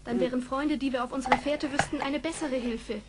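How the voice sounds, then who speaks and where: An actress playing a brave, level-headed young gentlewoman, earnest and measured, in a radio play. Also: sits high, steady tone, very noisy, natural voice. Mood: earnest, grave.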